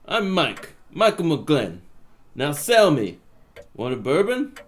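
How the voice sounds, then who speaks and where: A man's voice: on the high side, big pitch swings, takes it slowly. A man playing a 1920s gangster boss, genial but menacing, talking in slangy wiseguy patter in a noir radio drama. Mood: breezy, hospitable.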